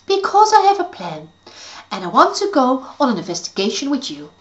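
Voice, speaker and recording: A woman's voice: high-pitched, huge pitch swings, brisk, muffled recording, voice pushed up. Woman voicing a bossy little fairy girl. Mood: eager. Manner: bright, impatient and bossy. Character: small bossy female fairy, impatient and clever.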